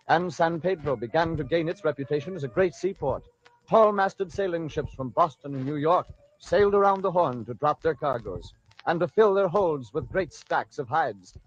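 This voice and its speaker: Male old-time radio narrator, slightly high. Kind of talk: scripted radio drama narration. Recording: dull, noisy old radio recording.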